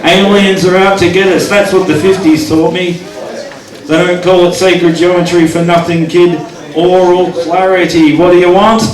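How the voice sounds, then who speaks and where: A man's voice: sits high, little pitch movement, normal speed. Man, spoken-word poet, wry asides, echoey venue, distant mic, audience. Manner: wry asides, counts down his time aloud. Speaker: poet performing. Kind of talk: live spoken-word poetry reading.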